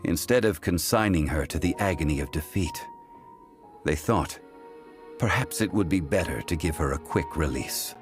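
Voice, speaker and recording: An actor voicing an ancient, calm male god who tells old stories in a grave, formal way. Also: over music. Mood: solemn. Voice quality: deep, measured, grave. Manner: slow, formal, unhurried storytelling. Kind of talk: game voice acting.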